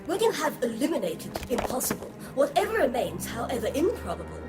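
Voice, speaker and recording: A woman's voice: sits high, big pitch swings, fast. A woman playing a melodramatic, literal-minded alien underling in a sci-fi audio drama. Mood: declaiming. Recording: echoing, with music behind.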